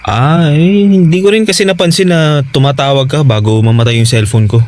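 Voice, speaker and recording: An actor playing an easygoing, evasive tricycle-driver husband in a Tagalog radio drama, casual, hesitant and placating. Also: male voice, medium pitch, fairly even intonation, brisk, a little mellow, lightly acted. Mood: evasive, apologetic.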